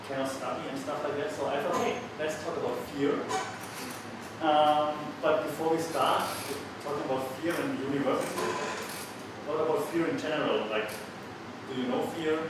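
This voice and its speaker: A man hosting a casual group discussion, upbeat and joking as he leads the talk. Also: medium pitch. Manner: upbeat and joking, laughs often. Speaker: host. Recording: echoey room, distant mic, loud noise.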